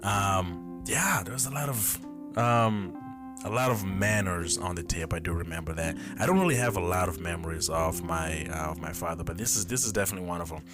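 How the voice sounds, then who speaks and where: A man's voice: low voice, brisk, music in the background. A man in his twenties hosting his own podcast, telling childhood memories in a rambling, self-correcting way as he thinks aloud. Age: 20s. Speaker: podcast host. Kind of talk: casual solo storytelling. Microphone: camera mic.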